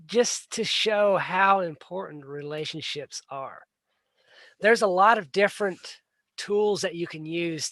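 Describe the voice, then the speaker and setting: A man's voice: high-pitched, fairly bright tone, no echo. A man hosting a casual livestream, talking earnestly and bluntly and often repeating words as he restarts a sentence. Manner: plain-spoken and blunt. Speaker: livestream host. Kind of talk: casual solo livestream talk.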